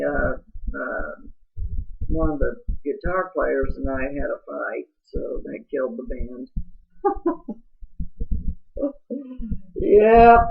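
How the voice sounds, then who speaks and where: A middle-aged woman with a low, rough-sounding voice, telling her life story in an earnest, confiding way and speaking straight to the listener. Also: slight background noise. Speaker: vlogger. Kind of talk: personal life story monologue. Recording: tinny phone-like audio.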